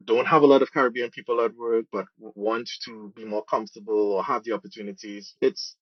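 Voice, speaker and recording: A man's voice: on the low side, dry recording, muffled recording. A man from Trinidad and Tobago with a Trinidadian accent, speaking conversationally as an interview guest. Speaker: podcast guest, program manager and musician.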